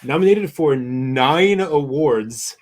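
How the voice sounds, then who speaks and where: A man hosting an anime news show, chatting casually through items with a somewhat halting delivery. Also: mid-range voice, animated, a little mellow.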